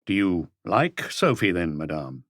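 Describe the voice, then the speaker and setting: Calm inspector, voiced by the narrator, low, soft and measured, dry close read. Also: male voice, no room sound, full character voice. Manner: quiet, measured. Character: calm male police inspector, quiet questioner.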